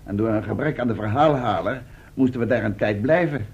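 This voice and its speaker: An actor in a Dutch radio play playing a sensible, mildly indignant adventurer. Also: male voice, lowish voice, brisk, darkish tone, husky. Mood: mildly put out. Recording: dull lo-fi old radio recording.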